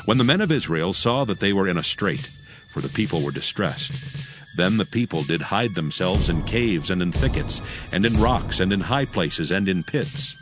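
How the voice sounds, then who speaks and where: Deep-ish guy narrating bible stories, solemn but lots of pitch movement, music under it. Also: lively intonation, moderate pace. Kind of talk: solemn scripted scripture narration.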